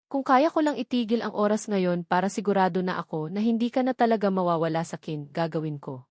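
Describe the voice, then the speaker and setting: A woman's voice: mid-range voice, expressive, fairly quick, a little mellow, dry recording, studio quality, lightly acted. A woman voicing an anxious, lovesick husband in an audio romance novel, pleading earnestly and sorrowfully with his wife. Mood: possessive, pleading.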